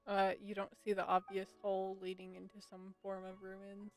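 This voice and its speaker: A woman's voice: mid-range voice, completely flat delivery, normal speed, music in the background. A woman streaming a video game, giving casual, wry commentary and thinking aloud as she plays. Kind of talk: casual live gameplay commentary.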